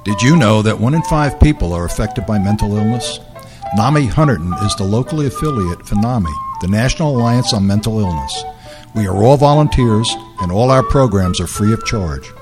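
A man reading a scripted public service announcement in an even, informative way. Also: fairly low pitch, normal speed, music in the background.

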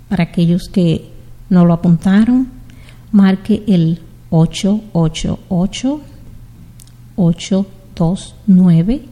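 A middle-aged woman from the Dominican Republic hosting a community radio show, speaking in an unhurried, heartfelt way as she greets her listeners. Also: medium pitch, mellow, in a large hall. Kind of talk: slow, warm community radio sign-off.

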